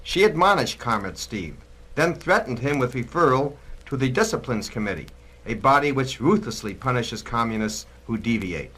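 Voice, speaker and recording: Male narrator, animated intonation, medium pitch, emphatic, admonishing, oratorical, scripted 1960s documentary style. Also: moderate pace, slightly rough, poor audio.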